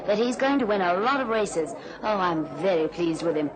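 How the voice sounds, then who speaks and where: A woman's voice: medium pitch, lively intonation, normal speed, crowd noise, natural voice, low-quality recording. An actress playing a poised, knowing female racehorse owner in a radio drama, arch and pointed. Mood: bright, pleased. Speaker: actor.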